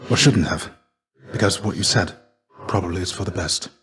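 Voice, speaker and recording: Stoic ghostly Greek warrior, low-pitched male voice, weary fatherly mentor, measured and formal, restrained gravity, video game dialogue. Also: fairly bright tone. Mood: pensive, contrite.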